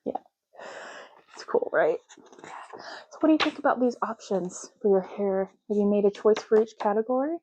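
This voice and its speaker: A woman doing a soft-spoken ASMR roleplay, talking slowly and casually and often repeating words in a deliberate way. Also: medium pitch, lively intonation, background noise.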